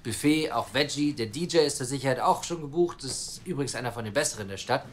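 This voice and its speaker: A man's voice: mid-range voice, quick pace, a little hiss or hum, close to an everyday voice. Casting: actor for earnest, persuasive man wanting a child, mid-range voice, natural radio-play delivery, faint background ambience. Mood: chatty, cheerful. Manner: eager, talkative, persuasive. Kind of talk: naturalistic audio drama acting.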